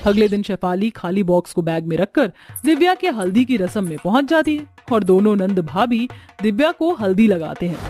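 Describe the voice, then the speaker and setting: A woman's voice: mid-range voice, darkish tone. A woman narrating a scripted family drama story.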